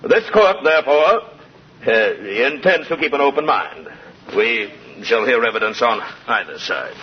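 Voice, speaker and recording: An American man playing a hesitant, pompous judge in a 1950s radio drama, speaking formally and haltingly. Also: mid-range voice, expressive, husky. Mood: solemn, hesitant. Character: hesitant, pompous male judge, politically anxious, middle-aged or older. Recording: muffled, hissy 1950 radio broadcast.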